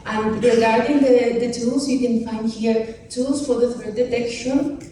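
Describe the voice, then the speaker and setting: A woman giving a short conference pitch, halting with many fillers and restarts. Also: fairly even intonation, dark tone. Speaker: conference presenter. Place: conference hall. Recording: echoey hall, mic far off, noisy.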